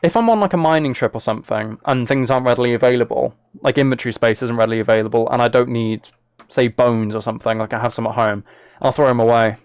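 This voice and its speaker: Guy livestreaming a game, lots of vocal fry, mid pitch, just chatting to viewers and thinking out loud. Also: quick pace, no room sound. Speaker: live streamer and host. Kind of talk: casual live stream chat while playing a game.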